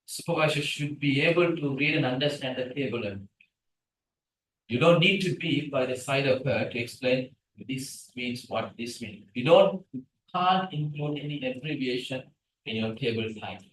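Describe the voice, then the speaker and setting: A middle-aged man whose first language is Tamil gives a guest lecture on scientific writing. He is animated and keeps asking the audience questions. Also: medium pitch, moderate pace, a little hiss or hum. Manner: animated, asks the room questions. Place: seminar room, hybrid session. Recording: echoey room from a distance.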